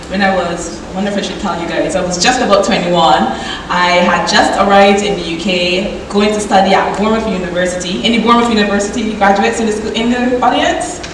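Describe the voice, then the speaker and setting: A woman in her twenties from Barbados with a Barbadian accent, giving a lively, chatty conference talk and addressing the audience directly. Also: medium pitch, noisy background. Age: 20s. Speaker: conference speaker. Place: large conference hall. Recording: echoey hall from far off.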